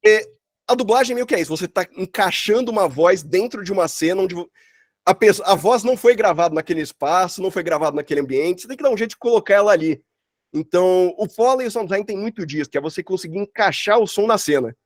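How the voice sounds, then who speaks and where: A man's voice: high voice, lively intonation, fast talker, dry recording, studio quality. A man teaching an online workshop in an informal, chatty way full of slang and filler tags. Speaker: workshop instructor, sound designer. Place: online video call.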